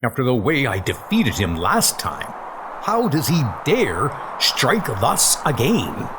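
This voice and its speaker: A man's voice: medium pitch, wildly swooping pitch, takes it slowly, background noise. A man playing a noble, resolute thunder god, speaking in an earnest, declamatory way for a comic-book dub.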